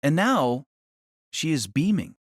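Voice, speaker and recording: A man narrating a novel as a dramatic audio reading, solemn and theatrical, with measured phrasing. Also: a little high, very animated, no echo, studio quality.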